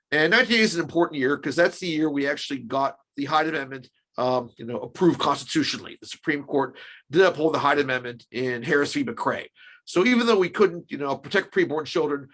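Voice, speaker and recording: A man's voice: a little high, fairly bright tone. A man giving explanatory interview commentary as a research scholar, emphatic and often restating his points. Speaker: podcast guest, research scholar.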